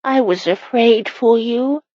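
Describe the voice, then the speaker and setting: Woman acting a husky, pleading young medieval wife. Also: on the high side, takes it slowly, no echo, muffled recording, voice pushed up. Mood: tender, pleading.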